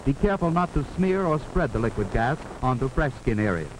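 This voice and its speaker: A man's voice: mid-range voice, sing-song. Noisy 1940s American male film narrator. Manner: authoritative, formal, measured instruction. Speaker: narrator of a wartime civil defense instructional film.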